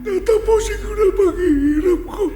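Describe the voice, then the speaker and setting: A man's voice: very high voice, normal speed, warm tone, faint music behind. A man playing a weary, despairing older Filipino grandfather in a radio drama, pleading melodramatically. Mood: sobbing despair. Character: weary, despairing grandfather begging to end his suffering.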